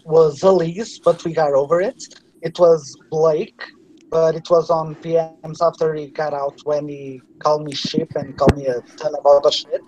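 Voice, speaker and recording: A man's voice: on the high side, darkish tone, slight background noise, low-quality recording. A man with a non-native English accent chatting casually in a group, blunt, defensive and given to swearing. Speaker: game contestant.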